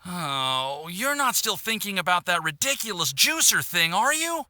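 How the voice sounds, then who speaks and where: A flustered young alchemist's assistant in an anime game. His voice is high, very bright and sharp, lively in pitch, with earnest, hesitant exasperation. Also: a little slow, no echo, studio quality, full character voice. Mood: wary.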